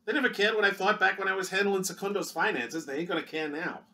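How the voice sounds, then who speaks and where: A man's voice: high-pitched, fast talker, pushed and bright, pitched above the performer's own voice. A man voicing a sassy young woman secretary of the 1930s, breezy and slangy.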